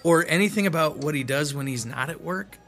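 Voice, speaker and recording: A man's voice: fairly high pitch, a little fast, fairly bright tone, faint music behind. A man acting a curious, joking young student newcomer in an audio drama.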